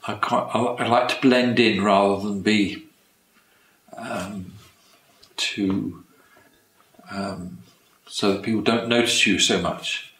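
A man in his sixties from England, an amateur choir singer talking softly and hesitantly in a documentary interview. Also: fairly low pitch, fairly even intonation, slow. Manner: soft-spoken, hesitant, chuckling. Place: living room with leather sofas. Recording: roomy sound with music behind.

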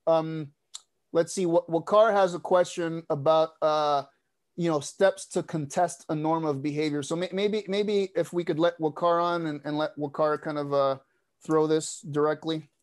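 A man's voice: a little high, steady tone, normal speed, darkish tone. A man hosting a panel webinar over a video call, thinking aloud with fillers and restarts. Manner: thoughtful, many fillers and restarts. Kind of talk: casual panel moderation. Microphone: wired earbuds on a video call.

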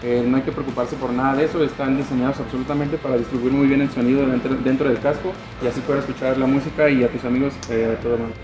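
A young Mexican salesman explains gear casually, often pausing on fillers. His voice sits mid-range in an echoey shop with music clearly playing. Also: medium pitch, little pitch movement. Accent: Mexican Spanish. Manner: casual, many "este" fillers. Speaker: sales adviser. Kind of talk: product explanation. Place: motorcycle gear shop, indoors.

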